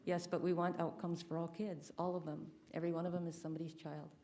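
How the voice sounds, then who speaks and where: A woman's voice: lowish voice, little pitch movement, fast talker, dark tone, slight background noise. A woman chairing a school board meeting, her voice muffled by a face mask as she speaks emphatically about community.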